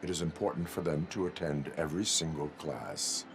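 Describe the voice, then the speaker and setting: Very deep, deadpan cyborg cop. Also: male voice, fairly quick, creaky, faint music behind. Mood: flat, official. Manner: formal, deadpan, clipped official phrasing. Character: stern robotic cyborg police officer, male, unbending. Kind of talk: video game cutscene acting.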